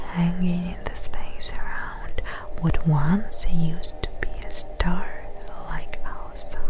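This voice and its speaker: A woman performing ASMR narration in a hushed, breathy whisper, pausing between short phrases. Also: lowish voice, low-quality recording.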